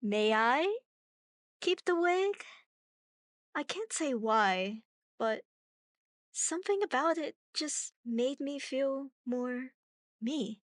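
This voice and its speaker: A woman acts out a gentle, effeminate young performer in a radio drama. The voice is high and unhurried, with lively swings in pitch and a playful, mock-deferential manner. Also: dry recording, studio quality. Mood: hesitant. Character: gentle, playful young actor named Artem, effeminate, teasing. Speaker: voice actor. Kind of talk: naturalistic radio play acting.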